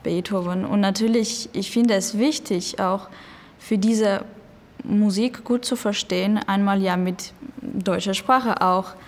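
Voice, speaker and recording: Slow-speaking woman. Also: mid-range voice, fairly even intonation, big-room sound. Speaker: music student. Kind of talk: interview answers.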